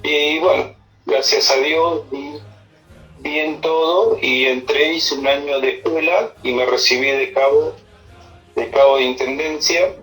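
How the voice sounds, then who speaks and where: Argentine guy, middle-aged army officer on a phone call, talks in halting bits, music playing behind him. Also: fairly high pitch, fairly even intonation, fairly bright tone. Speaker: army senior non-commissioned officer being interviewed. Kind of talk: informal interview answers, personal recollection. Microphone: telephone.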